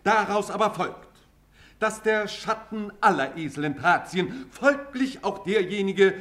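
An actor playing a pedantic, long-winded court assessor in a German radio play, insistently declaiming legal formulas. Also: male voice, high-pitched, lively intonation, unhurried, a bit bright. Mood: strident insistence.

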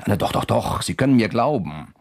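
An actor playing a persistent, slyly courteous investigator in a German radio crime drama, questioning insistently and deliberately. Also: male voice, lowish voice, big pitch swings, creaky, darkish tone, full character voice. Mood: mysterious, coaxing. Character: persistent amateur investigator, male, probing with sly courtesy.

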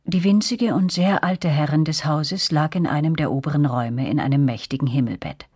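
Female narrator, radio play, husky, dry sound, scripted, descriptive. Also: low-pitched, fairly quick, a little mellow, dry recording. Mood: reflective. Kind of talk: scripted first-person narration in a radio drama.

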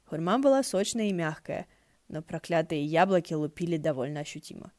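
Female audiobook narrator, dark soft-edged tone, slightly low, wry scripted storytelling, very dry recording. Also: expressive, brisk, dry recording.

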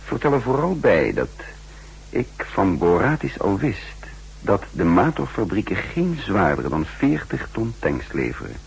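Shrewd spymaster for vintage radio play: low, very husky, clipped and ironic delivery. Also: male voice, huge pitch swings, unhurried, exaggerated voice, low-quality recording. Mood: urgent, commanding. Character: shrewd, sardonic male counter-espionage chief, narrating his story. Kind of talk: 1960s Dutch radio drama acting, dramatic narration.

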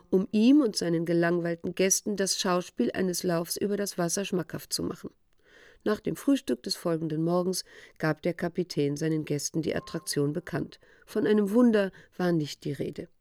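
A woman narrating a literary radio drama in a measured, sober, matter-of-fact storytelling manner. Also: lowish voice, gravelly, a little mellow, dry recording.

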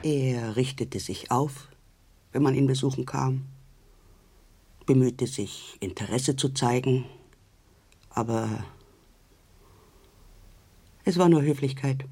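An actor playing a pensive man who recalls someone distant, reflectively and with pauses, in a radio drama. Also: mid-range voice, dark tone, slightly rough.

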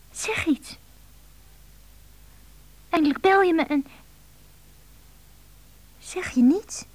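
A woman's voice: very high-pitched, no room sound. An actress playing a lovelorn, needy woman with a pleading, emotional manner in a radio drama. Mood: anxious, pleading.

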